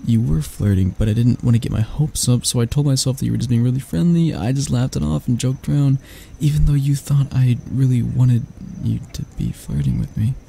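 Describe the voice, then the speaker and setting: A man playing a grumpy but soft-hearted barista, flustered and flirty, stammering and teasing in a romantic ASMR roleplay. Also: mid-range voice, expressive, a little fast, creaky, hardly any pauses, breathy edge. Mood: stunned, rambling.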